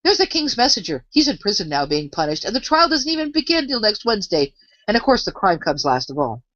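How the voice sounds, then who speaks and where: Woman voicing a scatty, excitable old queen, high-pitched. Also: a little fast, no room sound, dull audio. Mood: confident, airy. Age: older. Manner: dotty, emphatic, exclaiming. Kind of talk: storybook character voice.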